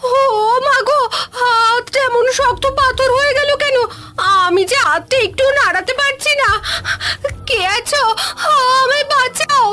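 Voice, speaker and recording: Shrill, scheming village woman. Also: a little slow, bright, forceful tone, a little hiss or hum, full character voice. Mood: wailing, pleading. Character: sly, greedy, spiteful village woman. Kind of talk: Bengali cartoon fairy-tale voice acting.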